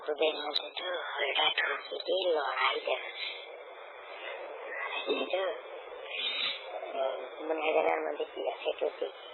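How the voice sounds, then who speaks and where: Tinny phone recording, heavy noise, man, higher-pitched gravelly voice, intimate casual chat, repeats himself. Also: steady tone, measured pace, pauses often. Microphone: phone call. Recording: noisy, narrow phone call recording.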